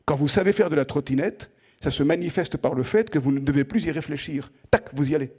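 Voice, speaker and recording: A man's voice: on the high side, brisk, darkish tone, husky. A middle-aged French man giving an opening lecture to students in a conversational way, often asking the room direct questions and repeating words as he thinks. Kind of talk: lively spoken lecture to students.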